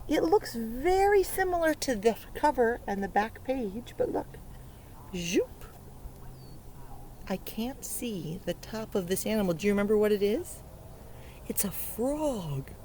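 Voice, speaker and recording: A woman reads a picture book to young children with lively, swooping intonation and an unhurried pace. She sounds playful and full of wonder, often turning to the listener with simple questions. She is outdoors, with faint ambient noise behind her. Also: fairly high pitch. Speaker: early learning educator reading a picture book aloud. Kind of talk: interactive read-aloud for young children. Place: outdoors beside a lake.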